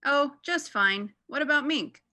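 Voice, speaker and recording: A woman's voice: sits high, expressive, a little slow, bright, forceful tone, no echo, full character voice. A young woman playing a breezy suburban mother chatting and gossiping on the telephone in a radio play. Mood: breezy, chatty. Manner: bubbly, gossipy.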